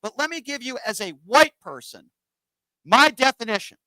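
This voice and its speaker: A man's voice: very high-pitched, lively intonation, normal speed, pushed and bright, no echo, rough recording. A man hosting a political call-in radio show, combative and sarcastic, cutting across callers.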